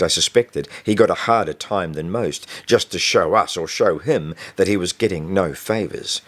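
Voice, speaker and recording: A man reading a first-person story as a scripted audiobook narration. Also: deep voice. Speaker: author reading own novel.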